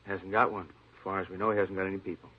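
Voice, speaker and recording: A fussy, officious male store manager, his voice on the low side. He stresses and repeats his points, heard through the very narrow, tinny sound of an old radio drama. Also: flat delivery, fast talker. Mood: helpful, officious. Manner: officious, emphatic, repeats himself. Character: fussy, disapproving male store boss, talkative with police.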